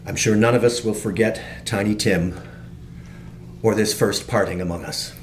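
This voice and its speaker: An actor playing a gentle, grieving father consoling his family in a radio play. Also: fairly low pitch, fairly even intonation, moderate pace, a little hiss or hum, lightly acted. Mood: tender sorrow.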